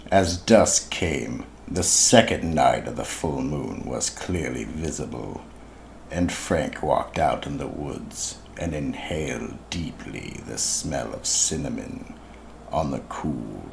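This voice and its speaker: A man's voice: extremely low pitch, expressive, slow pace, gravelly, a little hiss or hum. A man reading scripted narration for a radio mystery drama.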